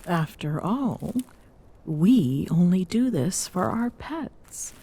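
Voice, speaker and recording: A towering Amazonian queen played by a woman in an ASMR audio roleplay, with a lowish, breathy voice. She is bossy and teasing yet tenderly smitten, and her unhurried delivery often softens toward a whisper. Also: big pitch swings, heavily acted. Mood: teasing, affectionate. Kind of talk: intimate ASMR roleplay acting.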